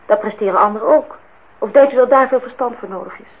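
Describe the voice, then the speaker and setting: A woman's voice: fairly high pitch, quick pace, natural voice. An actress playing an indignant, disillusioned young woman in a Dutch radio play, outspoken and reproachful as she speaks her mind. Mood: defensive, upset. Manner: reproachful, talks in long outpourings. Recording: dull, noisy old radio play recording.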